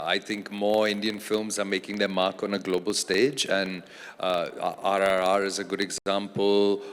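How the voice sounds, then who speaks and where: A man, an actor and producer from Australia, speaking as a panel guest in a relaxed, thoughtful way with many fillers. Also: fairly low pitch. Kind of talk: casual panel conversation. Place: large event hall on stage. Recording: long reverb of a large hall.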